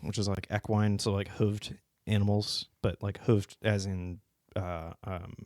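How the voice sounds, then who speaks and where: Guy hosting a podcast, lowish voice, dry mic, laughs a lot and swears casually. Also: flat delivery, unhurried, a little mellow, dry recording. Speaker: podcast host. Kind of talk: casual chat.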